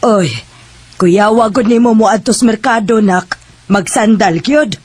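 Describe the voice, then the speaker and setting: A woman's voice: fairly high pitch, measured pace, a little mellow, slightly rough, no room sound. An actress playing a middle-aged mother who nags and teases her daughter in a radio drama. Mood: teasing. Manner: nagging, teasing. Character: teasing, scolding mother of a grown daughter.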